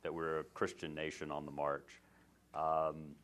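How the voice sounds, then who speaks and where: A man with a very deep voice moderates a panel discussion. He asks long, probing questions and frames them carefully before putting them to his guests. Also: monotone, measured pace, a bit bright.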